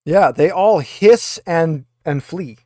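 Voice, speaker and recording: Man running a tabletop game, slightly high voice. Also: lively intonation, slow pace, mellow, dry recording, studio quality. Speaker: game master. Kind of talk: unscripted tabletop game narration.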